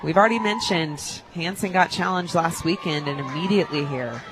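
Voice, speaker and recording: A man giving conversational analysis as color commentator on a live softball radio broadcast. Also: on the high side, a little fast, low-quality recording. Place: stadium radio booth.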